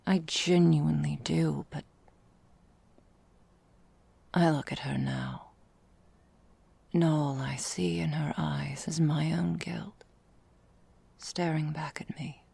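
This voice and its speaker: A woman playing an ancient, elegant vampire mistress in an ASMR roleplay, speaking formally and deliberately in a breathy, gravelly, whispery voice. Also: sits low, fairly even intonation, warm tone, no room sound, full character voice. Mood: regretful, hushed. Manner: slow, formal, theatrical, deliberate.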